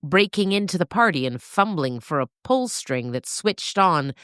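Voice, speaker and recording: A woman's voice: fairly low pitch, expressive, moderate pace, a bit bright, slight background noise. A woman narrating a comic scripted story as its storyteller.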